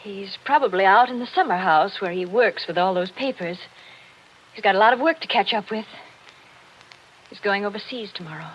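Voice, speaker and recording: A woman's voice: mid-range voice, moderate pace, husky, natural voice. A woman playing a refined, lonely army wife hiding her unhappiness, genteel and theatrical in 1940s radio drama style. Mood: wistful, strained. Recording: dull, noisy vintage radio recording.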